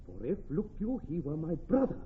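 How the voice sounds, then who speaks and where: Pedantic officer, emphatic, very lively pitch, stage-trained delivery, muffled hissy radio recording. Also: male voice, fairly quick. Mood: stern. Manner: fussy, emphatic explaining. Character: pedantic, earnest, excitable Welsh army captain. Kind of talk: theatrical Shakespearean radio acting. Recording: dull, noisy old radio recording.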